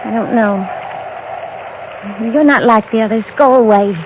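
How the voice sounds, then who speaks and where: A woman's voice: a little high, measured pace, crowd noise, close to an everyday voice. An actress playing a proud, strange, intense young frontier woman with a direct, challenging manner, in an old radio western. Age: young adult. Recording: dull, noisy old radio recording.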